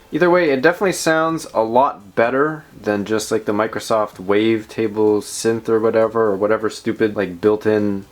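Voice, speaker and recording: A man hosting a casual tech show-and-tell, talking informally in rambling asides. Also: mid-range voice. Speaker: tech video host.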